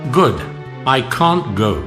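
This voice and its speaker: A man presenting a scripted pronunciation drill, deliberately repeating words and short phrases as models. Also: mid-range voice, sing-song. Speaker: language lesson presenter. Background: music.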